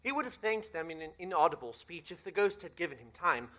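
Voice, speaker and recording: Man as bright-voiced dramatic storyteller. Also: a little high, very animated, fairly quick, a little hiss or hum. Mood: solemn, suspenseful. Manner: dramatic, literary. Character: measured storyteller narrating a Victorian ghost tale. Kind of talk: scripted radio play narration.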